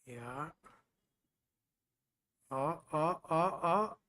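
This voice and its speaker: A man's voice: fairly high pitch, extremely slow, bright, forceful tone. Chatty man, native Urdu speaker. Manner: chatty and rambling, with frequent fillers and self-corrections. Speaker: host of a live coding stream. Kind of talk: casual live-stream talk to viewers.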